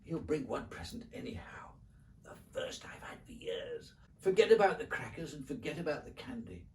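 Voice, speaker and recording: A man's voice: on the high side, big pitch swings, moderate pace, darkish tone, slight background noise, pitched above the performer's own voice. A man plays a lonely storybook king, childish and self-pitying. His pleas swing widely up and down in emphatic sing-song verse. The sound is a little distant from the mic. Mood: anxious, hopeful.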